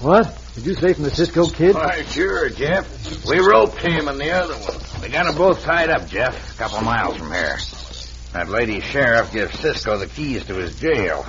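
An actor playing a gruff scheming outlaw with cowboy slang, in 1940s radio acting, on a dull old radio recording with hiss. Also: male voice, medium pitch, expressive, moderate pace, lightly acted. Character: rough outlaw henchmen, scheming.

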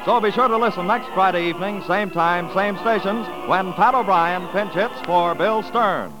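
A man's voice: high-pitched, normal speed, poor audio. A man announcing and reading adverts in an emphatic 1940s radio salesman style. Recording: old radio broadcast with music behind.

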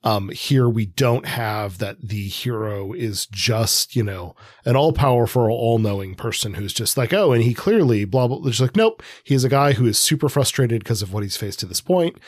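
Male co-host, lowish husky voice, informal and halting book talk, dry close-up sound. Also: animated, dry recording. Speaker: podcast co-host. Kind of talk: casual conversational book discussion.

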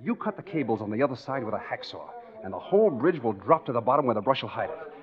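A man's voice: mid-range voice, sing-song, fast, close to an everyday voice. An actor playing a cocky, scheming American tough guy in a hard-boiled, slangy 1940s radio thriller. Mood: urgent, feigned remorse. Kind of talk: 1940s radio thriller acting and first-person narration. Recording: dull 1940s radio recording with music.

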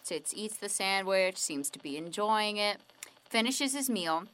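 A woman telling corny jokes and one-liners, slowly and with a giggle, in a radio drama. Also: medium pitch, expressive, a bit bright, low-quality recording. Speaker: joke teller.

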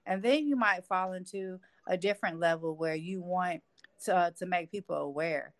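A woman's voice: lowish voice, moderate pace, dry recording. A woman speaking as an interview guest in a conversational way.

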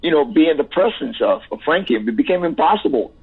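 Husky Cuban-accented man on a phone line. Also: on the high side, expressive. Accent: Cuban Spanish. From Cuba. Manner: halting, repeats words, many "you know" fillers. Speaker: musician guest. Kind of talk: interview over the phone.

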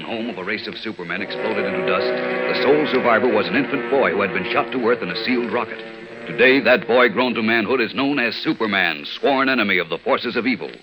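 A man delivering scripted narration as a vintage radio announcer, measured, dramatic and declamatory. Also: lowish voice, bright, forceful tone, rough recording. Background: music.

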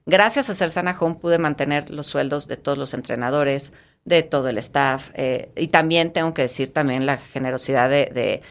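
Low-voiced, eager Mexican woman. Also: moderate pace. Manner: enthusiastic, restarts and repeats words. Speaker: guest, fitness studio founder. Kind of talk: casual interview talk.

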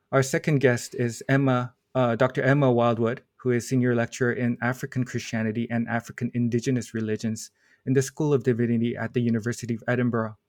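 A man's voice: mid-range voice, a little mellow, dry recording, clean studio sound. A man hosting a podcast interview, carefully reading out guest introductions with some hesitant fillers. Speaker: podcast host.

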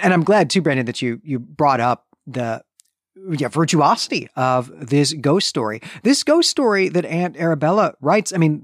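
A man's voice: mid-range voice, dry recording, clean studio sound. A man co-hosting a podcast, discussing a book in a thoughtful, analytical and conversational way with some emphasis. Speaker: podcast co-host.

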